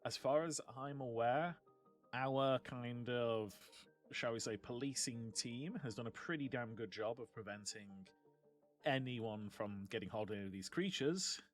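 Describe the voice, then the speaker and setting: A man hosts a podcast in a relaxed chat. He speaks in an ordinary mid-range voice, and his tone is wry and teasing. Faint music plays behind him. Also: medium pitch, a little slow. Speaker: podcast host. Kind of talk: casual chat.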